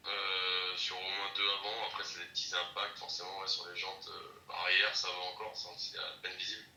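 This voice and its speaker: Bright, low-voiced man on echoey speakerphone. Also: level tone, normal speed. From Paris, France. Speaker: private car seller. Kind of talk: casual phone conversation. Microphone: phone call heard through speaker. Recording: distant echoey speakerphone, faint noise.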